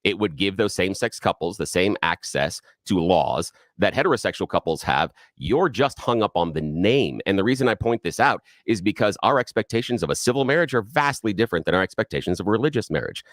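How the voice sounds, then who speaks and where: A male talk-radio co-host with a very low voice and lively, swinging intonation argues point by point with a caller. His delivery is probing and insistent. He sounds close and dry on a studio microphone. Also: fairly quick, few pauses, no room sound. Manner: probing, argumentative, leads the caller step by step.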